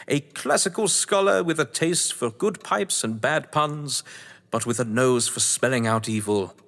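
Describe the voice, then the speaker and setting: In a long, hall-like reverb comes a man acting a pompous English schemer, a crime writer from an audio play. His voice sits on the high side and swings in pitch as he talks down to his listener with cunning relish. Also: fairly bright tone. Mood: vain, indignant. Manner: pompous, theatrical, condescending, elaborately wordy. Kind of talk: theatrical audio drama acting.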